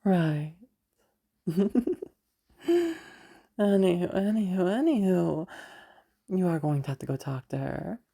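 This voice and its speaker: Woman as a smug, teasing villainess, low and creaky. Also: slow, long pauses, mellow, heavily acted. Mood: smug, amused. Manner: teasing drawl, sweary, amused. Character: playful, menacing villainess girlfriend, smug and teasing. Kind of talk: intimate audio roleplay.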